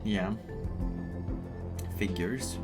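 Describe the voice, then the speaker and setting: A woman's voice: a bass voice, drawn-out words, loud music behind the voice. A woman from Greece, giving casual, wry and teasing commentary as she plays a story game. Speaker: gamer reacting to a story quest.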